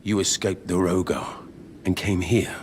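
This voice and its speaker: A man playing a gruff, curt warrior hero in a video game, speaking in a terse, blunt way. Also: deep voice, steady tone, a little slow, slight background noise. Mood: flat, blunt. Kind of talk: video game character acting. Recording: very echoey with music behind.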